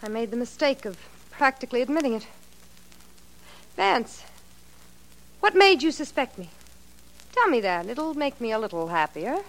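A woman's voice: on the high side, big pitch swings, normal speed, long pauses, rough recording. An actress playing a sardonic young woman killer in a 1940s radio drama, drawling and sarcastic. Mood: bitter. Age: young adult. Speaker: murder suspect.

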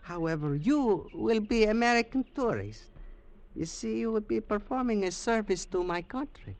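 A smooth, evasive old Peruvian antiques collector in an old-time radio play. His voice sits high. He hesitates now and then but keeps pressing his case. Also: expressive. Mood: persuasive. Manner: formal, slightly halting and persuasive. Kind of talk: 1950s radio drama acting.

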